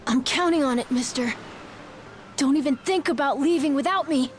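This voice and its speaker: A woman's voice: high voice, normal speed, faint music behind, close to an everyday voice. A woman voicing a plucky, earnest young boy in a video game, speaking in a spirited, pleading manner. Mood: hopeful, plucky. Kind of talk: dramatic video game voice acting.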